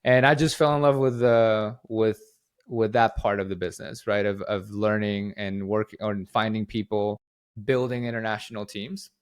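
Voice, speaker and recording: A young company head from Guatemala speaks a touch low in pitch. He answers at length in an easy, rambling way, and he keeps restarting his sentences and padding them with "like" and "uh.". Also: flat delivery, moderate pace, clean tone. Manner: rambling, many fillers and restarts. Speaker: company CEO, podcast guest. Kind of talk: casual interview answers.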